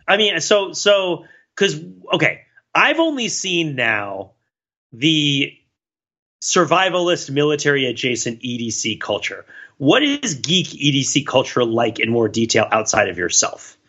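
A man's voice: on the high side, very animated, measured pace, sharp tone, husky, lo-fi sound. A man chatting casually as a podcast host, inquisitive and thinking aloud as he works through questions and analogies. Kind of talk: casual chat.

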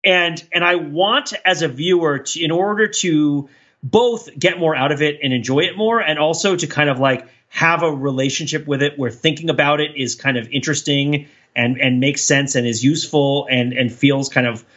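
Bright, high-voiced man rambling on a podcast. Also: muffled recording. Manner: rambling, halting, thinking aloud. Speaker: podcast co-host. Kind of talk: casual chat, rambling riffs.

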